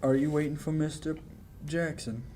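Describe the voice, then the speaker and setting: A young male amateur actor playing a nervous, evasive old clock repairman in a halting, polite manner, in 1940s radio play style. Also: a little high, steady tone, warm tone, lightly acted. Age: young adult. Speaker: amateur high school actor.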